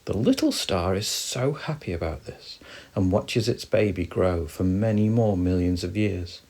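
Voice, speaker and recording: A man gently reading a bedtime story for children, expressive and soft. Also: sits low, measured pace.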